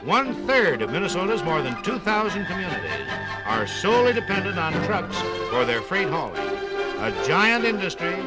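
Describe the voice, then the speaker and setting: A man's voice: high-pitched, big pitch swings, moderate pace, loud music behind the voice, poor audio. A man narrating a scripted 1950s radio documentary in an emphatic, polished announcer's delivery. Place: radio studio.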